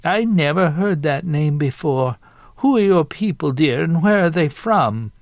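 A proper old lady of storybook fiction acted out by a man, raised in pitch and unhurried. She is proud, nosy and quick to scold. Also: animated, dry recording, clean studio sound. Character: prim, proud elderly Southern great-aunt, easily scandalized.

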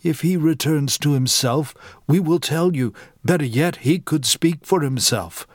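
A man voicing a calm, blunt adult male healer who explains things firmly. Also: a little high, unhurried, no room sound, studio quality. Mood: grave. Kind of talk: audiobook character reading.

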